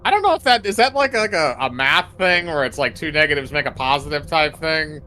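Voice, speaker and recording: Bright-voiced male streamer, forceful delivery. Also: on the high side, lively intonation, brisk. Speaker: streamer co-host. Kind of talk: casual livestream banter and commentary. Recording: music behind.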